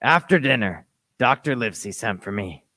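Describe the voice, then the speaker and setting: A man's voice: on the low side, expressive, normal speed, sharp tone, no echo, clean studio sound. A man narrating an adventure radio play in scripted, first-person storytelling.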